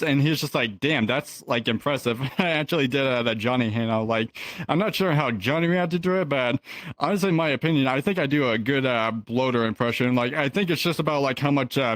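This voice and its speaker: A man's voice: medium pitch, a bit bright, no echo. A young man chatting casually as a podcast guest, rambling with lots of fillers and restarts. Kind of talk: casual chat.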